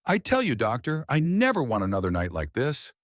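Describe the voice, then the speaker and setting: A man's voice: medium pitch, wildly swooping pitch, brisk, no room sound, clean studio sound, voice pushed up. A man voicing a fat, exhausted ship's doctor in a pulp story reading, weary and apologetic.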